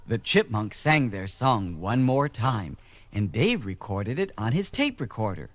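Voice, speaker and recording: Animated male children's storyteller. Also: fairly low pitch, unhurried, dry recording. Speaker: narrator. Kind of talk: scripted children's story narration.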